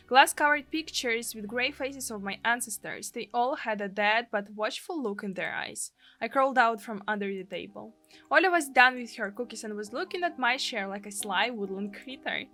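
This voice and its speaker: Bright, high-voiced Russian-accented woman narrating. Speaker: gaming streamer. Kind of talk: let's play, reading a live translation aloud.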